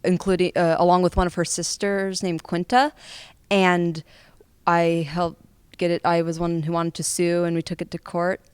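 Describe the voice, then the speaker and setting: A woman's voice: on the low side, little pitch movement, a little mellow, clear, resonant tone, dry recording. A teenage female student actor with an American accent, talking tentatively about her role in an interview. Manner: halting, tentative. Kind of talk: explanatory interview talk.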